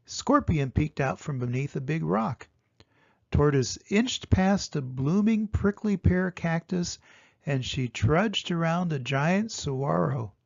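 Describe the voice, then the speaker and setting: An older man narrates a children's storybook with dramatic emphasis. His pitch is fairly high and very animated, and he reads at an unhurried pace. The recording is dry and a little dull. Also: gravelly, dry recording. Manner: expressive, dramatic emphasis on sound words. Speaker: storyteller reading aloud to children.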